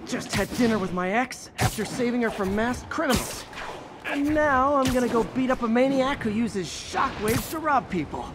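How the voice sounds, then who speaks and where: A wisecracking young hero, upbeat and full of jokes. His voice is very high for a man. Loud action noise runs behind him. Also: lively intonation, normal speed. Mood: joking. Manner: joking, self-mocking.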